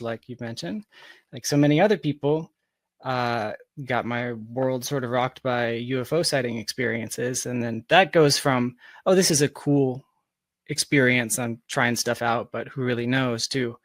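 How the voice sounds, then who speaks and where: A man's voice: medium pitch, unhurried, no echo. Man in his thirties, dry close sound. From Georgia. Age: 30s. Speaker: podcast guest, software developer. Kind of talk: conversational interview answers.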